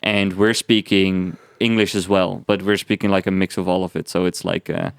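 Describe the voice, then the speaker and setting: Low-ish man, lively storyteller, dry mic. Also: moderate pace, gravelly, clean tone, no echo. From Netherlands. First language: Dutch. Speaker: podcast guest. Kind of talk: casual podcast chat.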